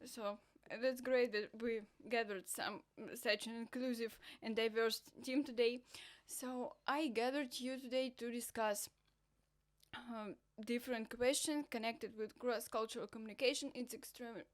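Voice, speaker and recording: A woman with an Eastern European accent hosting a cross-cultural interview show, friendly and slightly halting. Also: fairly high pitch, steady tone. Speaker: host.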